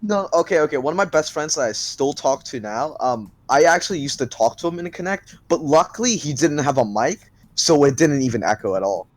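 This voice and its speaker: A man's voice: on the high side, lively intonation, fairly quick, hardly any pauses, no room sound. A man chatting casually on a podcast, swearing and telling loose stories. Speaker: podcast co-host. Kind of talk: casual chat.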